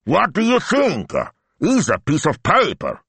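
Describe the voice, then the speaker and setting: A man's voice: sits high, huge pitch swings, unhurried, vocal fry, a bit bright, breathy edge, no room sound, pitched above the performer's own voice, heavily acted. A man voicing an impatient, scheming middle-aged man who speaks theatrically. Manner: exasperated, theatrical.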